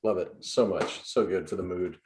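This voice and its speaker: A man's voice: sits low, moderate pace, reverberant, slight background noise. A man from New York, an improv performer, chatting enthusiastically over a video call after a show. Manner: enthusiastic, lively. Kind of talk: casual post-show chat.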